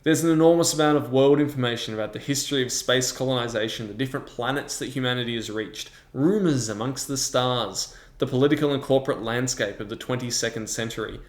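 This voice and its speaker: A man's voice: mid-range voice, quick pace, hardly any pauses. A man presenting a scripted review as the host of a video. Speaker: tabletop game reviewer and host. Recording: roomy, echoing sound.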